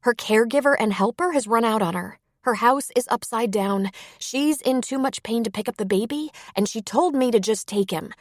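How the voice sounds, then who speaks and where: A woman's voice: on the high side, normal speed, few pauses, no echo, voice pushed up, natural voice. Audiobook role: tender-hearted, anxious young woman, voiced by a female narrator, urgent delivery, dry close sound. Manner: worried, earnest, urgent. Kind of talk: audiobook character dialogue.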